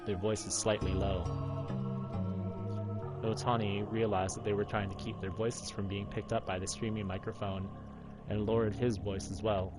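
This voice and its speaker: A man hosting a gaming playthrough, reading a visual novel aloud in a measured storytelling way and lightly acting out dialogue. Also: fairly low pitch, little pitch movement, over loud music. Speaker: gaming video host.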